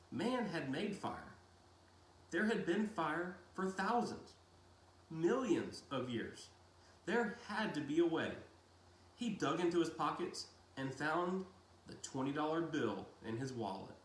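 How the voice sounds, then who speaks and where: A man reading a novel aloud in a deliberate storytelling style with dramatic pauses. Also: on the high side. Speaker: reader of a children's novel read-aloud. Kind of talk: audiobook-style narration with brief casual asides. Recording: roomy echo, faint background noise.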